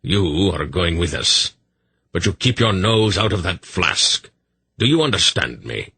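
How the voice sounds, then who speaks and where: A man voicing a testy, gruff old man who turns quietly menacing, in a low husky voice. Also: very animated, a little slow, heavily acted. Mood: stern.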